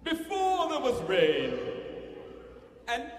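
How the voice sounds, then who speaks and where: A solemn teller of ancient tales, put on by a man, drags out each phrase extremely slowly and half sings it. The delivery is dramatic storybook narration, ringing in an echoey hall. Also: extremely high pitch, wildly swooping pitch, voice pushed up, exaggerated voice. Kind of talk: slow dramatic sung-spoken narration. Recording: echoey hall with long reverb.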